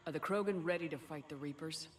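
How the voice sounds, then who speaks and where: A woman playing a steady, direct human military commander in a video game, asking questions in a businesslike way. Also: sits low, fast talker, close to an everyday voice. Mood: probing, firm. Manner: businesslike, probing. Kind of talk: video game cutscene acting. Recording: slightly distant, faintly noisy game capture.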